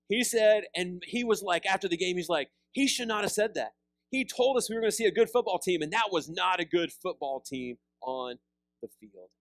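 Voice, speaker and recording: A pastor in his thirties preaching a sermon, seated with a handheld mic, animated and conversational, often repeating words as he restarts. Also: sits high, moderate pace, no echo, studio quality. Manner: animated preaching with big hand gestures, rising loud on emphasis. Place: church fellowship hall, seated on a stool.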